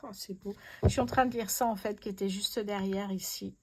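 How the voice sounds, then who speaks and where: A woman's voice: medium pitch, steady tone, fairly quick, darkish tone, husky. A woman hosting a casual tarot reading, speaking to her viewers in an effusive, awestruck way. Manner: effusive, awestruck, repeats herself in wonder. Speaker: host, tarot card reader.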